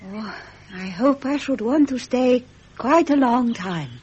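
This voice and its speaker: An actress playing a sweet, modest young princess, naive but gently forthright, in a 1970s British radio drama. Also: female voice, fairly high pitch, animated, takes it slowly, husky, exaggerated voice. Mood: shy, eager. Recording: muffled vintage radio, faint hiss.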